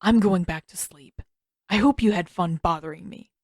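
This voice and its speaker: A woman's voice: lowish voice, normal speed, darkish tone, no room sound, studio quality. A woman voicing a tired, sullen teenage prince in an audiobook story, terse, defensive and sarcastic. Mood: irritated.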